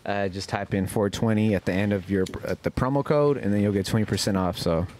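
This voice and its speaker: Low-voiced, slangy man outdoors. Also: brisk, a little mellow. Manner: slangy, affirming. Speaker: podcast co-host. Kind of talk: casual chat, informal shout-outs. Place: outdoor backyard. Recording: outdoor recording with faint noise.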